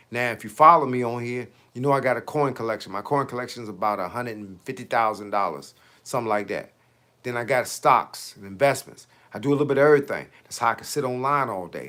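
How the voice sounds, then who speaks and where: A man's voice: medium pitch, fairly bright tone. Talkative fifty-something man on a livestream. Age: 50s. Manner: talkative, slangy, rhetorical questions. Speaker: fitness coach and livestream host. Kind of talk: casual livestream chat reading comments.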